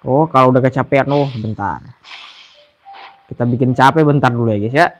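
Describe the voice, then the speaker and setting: A man's voice: mid-range voice, fast talker. A man with an Indonesian accent giving casual, slangy live commentary on a game, often muttering to himself. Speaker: game streamer.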